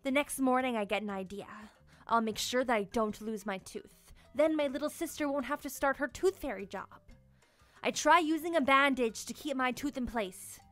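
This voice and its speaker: A young woman reading a children's picture book aloud in an expressive, playful way, as a storyteller for small kids. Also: high voice, moderate pace. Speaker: storyteller and children's channel host. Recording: music behind the voice. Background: music.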